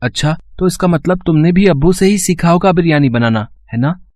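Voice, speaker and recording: A man's voice: fairly high pitch, animated, a little fast, darkish tone, no room sound, lightly acted. A man voicing an innocent young son in a cartoon story, childlike, earnest and curious. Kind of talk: cartoon moral story acting.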